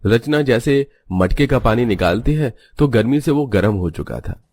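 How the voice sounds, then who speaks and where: A man narrates a scripted domestic story. His voice sits at an ordinary middle pitch as he tells the tale as narrator. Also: animated, normal speed, a little mellow.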